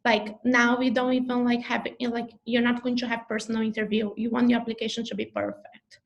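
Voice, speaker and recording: A woman with a Brazilian Portuguese accent speaks in a slightly high voice with a rough, fry-laced texture. She gives advice over a video call, pausing often to fill gaps and restart her sentences. Her English is fluent but clearly not her first language. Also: little pitch movement, lo-fi sound. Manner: hesitant, many fillers and restarts. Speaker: neurologist faculty member. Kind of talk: advice talk in an online info session.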